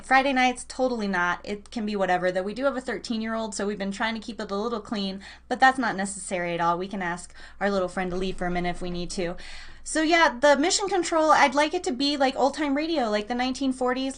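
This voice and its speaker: A woman hosting a live radio show, chatting casually and playfully with listeners and adding mock-dramatic asides. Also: fairly high pitch. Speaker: radio show and podcast host.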